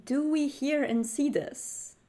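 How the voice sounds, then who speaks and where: A woman's voice: high-pitched, fairly even intonation, slow pace, warm tone. A woman playing in a tabletop roleplaying game, talking casually and improvising her character's lines.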